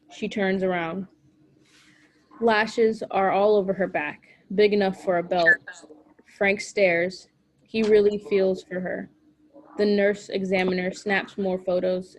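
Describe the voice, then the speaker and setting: Even-toned woman reading stage directions. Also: mid-range voice, low-quality recording. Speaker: stage directions reader at a table read. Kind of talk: scripted narration at a table read.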